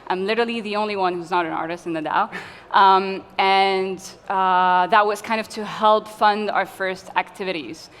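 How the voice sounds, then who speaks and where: A woman's voice: medium pitch, fairly even intonation, normal speed, a bit bright, smooth. A woman from Tunisia speaking as a curator on a conference panel, friendly and a little hesitant. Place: conference hall. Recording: long hall reverb, faint ambience.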